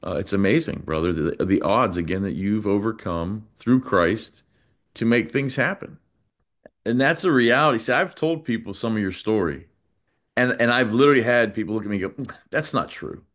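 A man's voice: lowish voice, moderate pace, fairly bright tone. A man in his fifties with an American accent, hosting a casual interview over a video call. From United States. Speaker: interview host. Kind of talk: casual chat. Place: small indoor room, on a video call.

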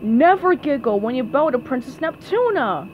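A woman's voice: high voice, big pitch swings, normal speed, highs cut off, slight background noise. A woman voicing a snobbish, gloomy male squid from a cartoon storybook, haughty and easily exasperated. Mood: scolding. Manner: haughty, condescending.

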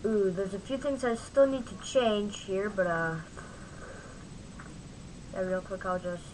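A woman giving a casual software tutorial in an informal, halting way, often interrupting and talking to herself. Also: medium pitch, fairly even intonation, noisy background. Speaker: tutorial host. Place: at home, at a computer.

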